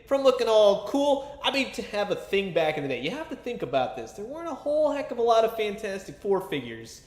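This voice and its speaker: A man's voice: high-pitched, sing-song, fairly quick, reverberant. A man giving a casual, chatty toy review with a fan's enthusiasm and colloquial asides. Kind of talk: casual action figure review commentary.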